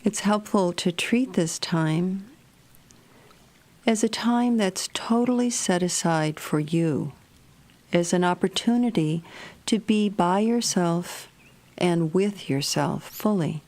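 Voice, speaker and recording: A woman narrating a guided meditation in a gentle, measured, soothing manner. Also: fairly low pitch.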